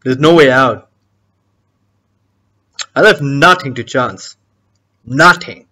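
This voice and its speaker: A man performing a theatrical monologue as a smug, menacing schemer, taunting his victim in a deliberate, sardonic way. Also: medium pitch, bright, forceful tone.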